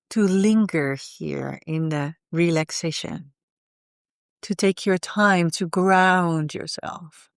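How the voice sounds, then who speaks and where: A woman leading a guided meditation in a soothing, unhurried way, often pausing in the middle of phrases. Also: lowish voice, gravelly, no room sound, studio quality.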